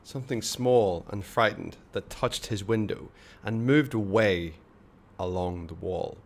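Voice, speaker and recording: A man narrating a story as an expressive read-aloud, with measured, dramatic phrasing and pauses. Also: on the low side. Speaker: storyteller reading a children's book aloud.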